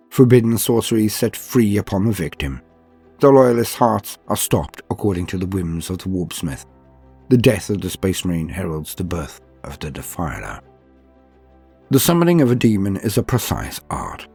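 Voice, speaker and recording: Man, low-pitched, husky, grave and formal, ominous scripted fantasy narration, music underneath. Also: darkish tone. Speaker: narrator of a fantasy war-game lore guide. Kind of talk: scripted dramatic lore narration.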